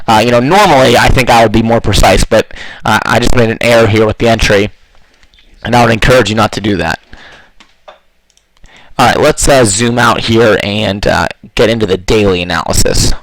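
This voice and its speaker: A man with a slightly hoarse voice, walking through trading charts in a loose, casual way with lots of fillers. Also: mid-range voice, no room sound, low-quality recording. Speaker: forex trading analyst.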